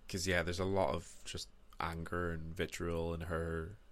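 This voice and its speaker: A man hosting a podcast, interviewing his guest in a relaxed, agreeable way. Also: deep voice, measured pace. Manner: relaxed, agreeable, lots of fillers. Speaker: podcast host. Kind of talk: casual interview.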